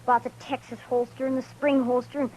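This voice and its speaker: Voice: high voice, level tone, fast, dark tone, close to an everyday voice. A performer acting an eager, guileless young boy with a rural American accent in a 1950s radio western. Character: eager young frontier boy, curious, admiring. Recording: noisy old radio drama recording.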